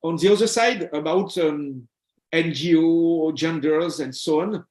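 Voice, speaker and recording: A man answers questions in a discussion. His voice is fairly high for a man and rings in an echoey room. He feels his way through sentences, pausing to find each word. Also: a little mellow. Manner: halting, searching for words. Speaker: panel guest.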